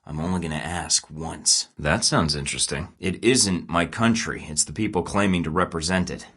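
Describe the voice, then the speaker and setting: A man's voice: low-pitched, normal speed, a bit bright, husky. An actor playing a terse, dry-witted male spy agent in game voice lines. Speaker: video game character.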